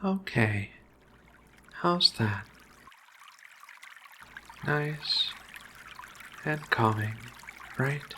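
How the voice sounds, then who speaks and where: A man voicing Ragna's kind of figure, a gentle, caring giant female feline alien researcher, in a soothing, hesitant ASMR roleplay delivery. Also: mid-range voice, expressive, extremely slow, pauses often, a little hiss or hum, exaggerated voice. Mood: gentle, coaxing.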